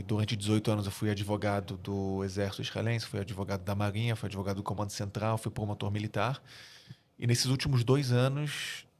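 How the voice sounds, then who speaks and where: Man, 40s, Rio de Janeiro accent, low voice, monotone, earnest, hesitant. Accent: Rio de Janeiro Brazilian Portuguese. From Brazil (Rio de Janeiro). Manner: measured, earnest, frequent hesitations. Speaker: guest, military spokesperson. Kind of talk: podcast interview.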